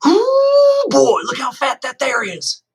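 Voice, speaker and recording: A man voicing a chatty young boy from the rural South, with a folksy drawl and an excited, nonstop way of talking. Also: very high-pitched, slow pace, creaky, breathy edge, voice pushed up, exaggerated voice.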